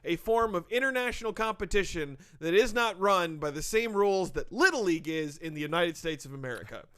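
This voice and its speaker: A man's voice: high voice, lively intonation, a little fast, pushed and bright, clear, resonant tone. A man hosting a casual comedy sports podcast, delivering updates with asides and frequent laughter. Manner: laughs often, talks through updates with asides.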